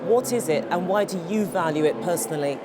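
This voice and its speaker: A woman's voice: lowish voice, lively intonation, brisk. A middle-aged woman presenting a live interview in an articulate, polished broadcast manner. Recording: clear outdoor-like traffic noise behind.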